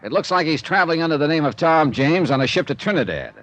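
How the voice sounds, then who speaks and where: An actor playing a wry, hard-driving insurance investigator with a dry, wisecracking manner, in 1950s radio drama style. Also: male voice, fairly high pitch, expressive, fast, fairly bright tone, poor audio. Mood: brisk.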